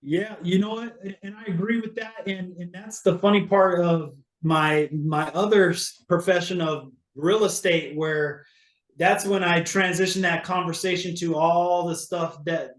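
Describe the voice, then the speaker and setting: A man's voice: on the high side, fairly even intonation, unhurried, echoey room. A man from Hawaii, a real estate agent, talking casually as an interview guest, with many fillers and restarts.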